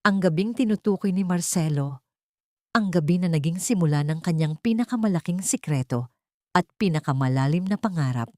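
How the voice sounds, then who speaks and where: A Filipino woman narrates a scripted drama in a lowish voice. The recording is dry and close, with very little room sound. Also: lively intonation, normal speed, warm tone, no room sound. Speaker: audiobook narrator.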